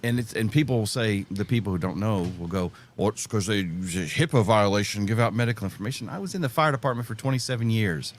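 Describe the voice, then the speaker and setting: A man's voice: on the low side, quick pace, few pauses, slight background noise. A middle-aged American man in casual conversation, explaining things in a folksy, plain-spoken way. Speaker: podcast panelist and ham radio hobbyist.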